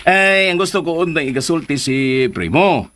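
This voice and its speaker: A man's voice: on the high side, animated, normal speed, fairly bright tone. An actor playing a pompous older man who brags as a comic suitor in a radio drama, with a Cebuano Filipino accent. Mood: boastful. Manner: pompous, bragging, exaggerated. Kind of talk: Philippine radio drama acting.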